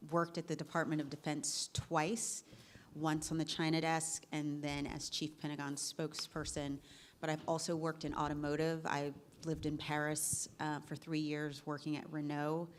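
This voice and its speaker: Low-voiced chatty woman panelist. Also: a bit bright, a little hiss or hum. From Virginia, US. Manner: chatty, self-correcting, quick bursts. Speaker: panelist, managing director. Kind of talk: panel discussion.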